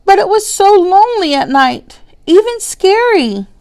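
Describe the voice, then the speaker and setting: Woman as small classroom hamster, storybook animal, very high pitch, earnest, plaintive. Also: big pitch swings, measured pace, darkish tone, heavily acted.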